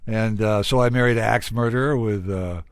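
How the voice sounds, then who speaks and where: A man's voice: on the low side, brisk, clean tone, no echo, studio quality. An American man hosting a casual comedy interview, talkative and joking. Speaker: interviewer and host.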